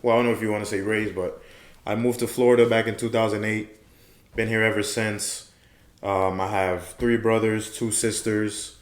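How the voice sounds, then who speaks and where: A young man from Haiti speaks in a low voice with a relaxed, affirming manner and often repeats his points. The room echoes noticeably around him. He sounds like a guest in an easygoing podcast conversation. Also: normal speed. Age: young adult. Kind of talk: casual interview chat. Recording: roomy echo.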